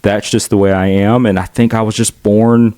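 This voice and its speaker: A man's voice: lowish voice, little pitch movement, moderate pace, dark tone, clean tone, no room sound. A middle-aged man with a Southern US accent, telling stories in an outgoing, chatty way as a podcast guest. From United States. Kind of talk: casual conversational storytelling.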